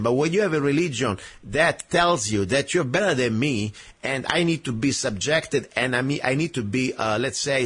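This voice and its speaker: A man's voice: medium pitch, fairly bright tone, breathy edge. A man from Italy with an Italian accent, hosting a political radio talk show and speaking emphatically, with many "uh"s and "you know"s. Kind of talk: opinionated political radio chat.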